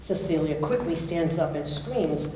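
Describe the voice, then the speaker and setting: A woman with a fairly low voice narrates stage directions from a script. She is heard from a distance in a very echoey hall, with music around her. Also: a little slow, mellow, slight background noise.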